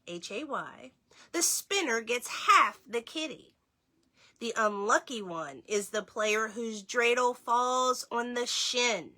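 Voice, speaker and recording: Bright-voiced woman reading aloud, unhurried. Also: a little high. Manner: slow, clearly phrased reading, addresses young listeners directly. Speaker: story time host reading aloud. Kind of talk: children's read-aloud with casual asides.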